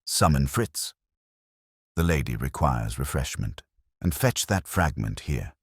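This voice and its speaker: A man voicing a huge, imperious genius detective in a mystery story, pompous, curt and rumbling. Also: big pitch swings, unhurried, breathy edge, dry recording, studio quality, exaggerated voice. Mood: commanding.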